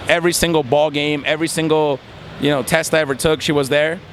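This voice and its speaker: Earnest young man, slightly high voice. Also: steady tone, fast talker, a bit bright, slight background noise. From Mexico. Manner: earnest, reflective, many fillers. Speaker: interviewee, competitive gamer and law student. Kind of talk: casual interview.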